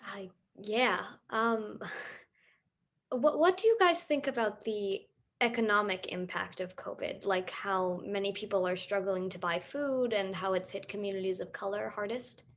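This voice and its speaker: A woman's voice: mid-range voice, a little slow, lightly acted. A woman performs an inquiring moderator in a documentary play. Her voice is mid-range. She puts open questions to a group. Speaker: discussion moderator. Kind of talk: documentary theater, acted as group discussion.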